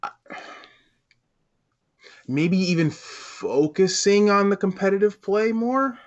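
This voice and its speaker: A man's voice: high voice, expressive, takes it slowly. A man co-hosting a casual gaming podcast, speaking in a tentative, agreeable way. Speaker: podcast co-host. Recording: slightly dull, lo-fi microphone sound.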